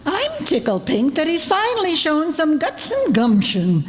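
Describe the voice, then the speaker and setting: Woman playing an eccentric rich aunt, high-voiced, echoey hall. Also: huge pitch swings, a little slow, heavily acted. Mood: gleeful, defiant. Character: eccentric, wealthy, wilful older aunt, sly and affectionate. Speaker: amateur radio drama player. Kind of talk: old-time radio play acting. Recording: reverberant hall, stage performance.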